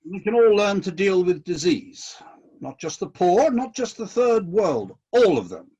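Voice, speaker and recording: A disillusioned God played by a man rules heaven in a radio play, indignant and commanding. The voice sits high for a male, swings widely in pitch and has a husky grain. The delivery is deliberate and decisive. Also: big pitch swings, darkish tone, highs cut off, full character voice. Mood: firm, hopeful.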